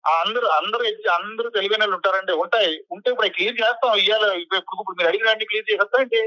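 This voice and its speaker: A man's voice: high voice, steady tone, racing, bright, forceful tone, no room sound. A young man arguing defiantly and irritably as a caller over a phone line. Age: young adult. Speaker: caller, college student. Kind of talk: heated phone conversation.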